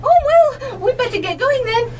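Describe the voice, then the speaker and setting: A woman's voice: extremely high pitch, expressive, moderate pace, darkish tone, recorded from a distance. A woman playing a plain-spoken, cheerful holiday companion in a live radio play. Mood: game, grumbling.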